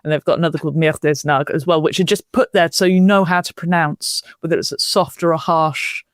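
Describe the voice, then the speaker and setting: A woman hosting a podcast in a casual, chatty style, with a jokey, animated, informal way of talking. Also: deep voice, brisk, no echo, clean studio sound. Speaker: podcast host.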